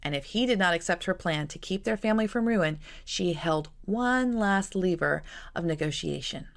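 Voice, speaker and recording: A woman reading a novel aloud as narrator, with an expressive, measured, dramatic storytelling delivery. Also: fairly low pitch. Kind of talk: expressive scripted audiobook narration.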